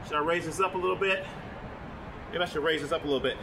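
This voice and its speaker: A man talking loudly and rambling as he shows off old cameras, correcting himself often, recorded on a phone with no microphone. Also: a little high, quick pace. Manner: loud, rambling, self-correcting. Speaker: vlogger and camera collector. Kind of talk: casual on-camera show-and-tell. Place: his broadcaster museum room. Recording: phone recording with clear background noise.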